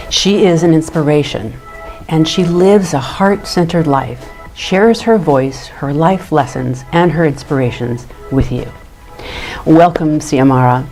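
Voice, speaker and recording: Low-voiced woman hosting a podcast. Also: poor audio. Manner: earnest, warm-hearted and enthusiastic. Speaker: podcast host.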